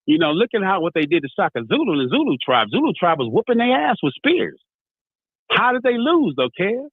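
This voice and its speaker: A man's voice: high-pitched, breathy edge, no room sound. An American man talking in an impassioned, casual debate as a radio talk host, telling stories and acting out dialogue. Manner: storytelling, acts out dialogue, repeats "you know what I mean". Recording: tinny phone-like sound.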